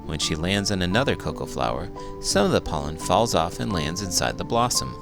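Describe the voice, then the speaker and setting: A man reading a children's book aloud as a teacher would, expressive and full of big emotion. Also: low voice, a little fast, gravelly, over music. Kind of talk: animated children's read-aloud narration.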